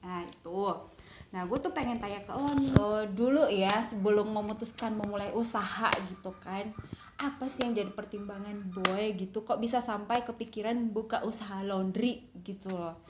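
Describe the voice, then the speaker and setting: A woman's voice: medium pitch, normal speed. A woman chatting casually as an interviewer, in a slangy, playful way. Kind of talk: casual chat. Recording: echoey room with background noise.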